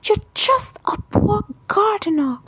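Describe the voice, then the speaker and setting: A woman storyteller voicing a bitter, cruel young wife who shouts and sneers in a folktale. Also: very high voice, very animated, slow pace, slight background noise, pitched above the performer's own voice, full character voice, poor audio. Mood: sneering, shrill.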